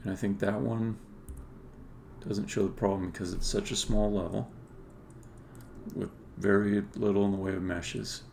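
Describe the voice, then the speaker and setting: A man's voice: on the low side, level tone, moderate pace, vocal fry, long pauses, darkish tone. A man casually thinking aloud as he live-codes a game, muttering to himself in short fragments. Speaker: game developer streaming live coding. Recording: background keyboard typing and clicking noise. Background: computer keyboard typing, mouse clicks.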